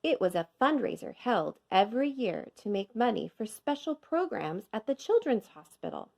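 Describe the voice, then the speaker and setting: A woman reading a children's storybook aloud as a teacher would, with expressive, measured storytelling for young children. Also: mid-range voice, vocal fry. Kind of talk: scripted children's storybook narration.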